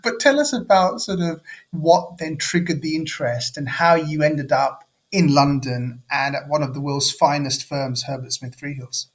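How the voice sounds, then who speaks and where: A man's voice: on the high side, moderate pace. An older man hosting a podcast interview, effusive and chatty, with plenty of fillers. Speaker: podcast host.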